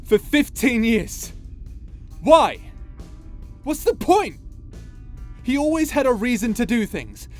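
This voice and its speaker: A man's voice: very high-pitched, big pitch swings, takes it slowly, long pauses, full character voice. A man playing an impassioned space pirate captain in an audio roleplay, with a dramatic, emotive delivery full of sighs. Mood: incredulous outrage. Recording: voice over background music.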